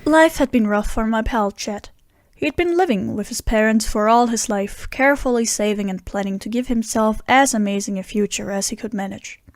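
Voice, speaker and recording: A woman's voice: mid-range voice, dry recording, close to an everyday voice. Audio drama lead: woman as a down-on-their-luck friend, wry storytelling, mid-range voice, very dry recording. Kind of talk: scripted first-person audio drama narration.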